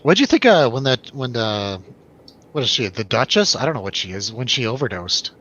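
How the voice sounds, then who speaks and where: A man's voice: medium pitch, sing-song, fairly quick, fairly bright tone. A man hosting a casual film review show in a jokey, irreverent way. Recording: dull lo-fi, faint background noise.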